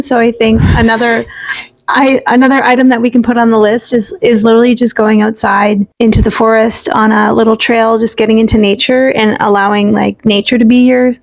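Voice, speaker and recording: Higher-voiced, creaky, reassuring woman. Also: rough recording. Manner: gently reassuring, affirming. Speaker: podcast co-host. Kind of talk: casual supportive conversation about self-care.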